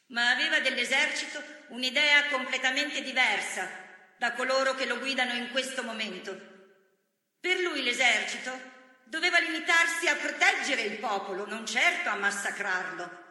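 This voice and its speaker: A performer playing a resolute, forgiving woman democracy leader, giving a measured and earnest theatrical speech. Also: high voice, animated, sharp tone, full character voice. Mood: grave, proud. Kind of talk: theatrical political speech monologue. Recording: very echoey hall, long reverb.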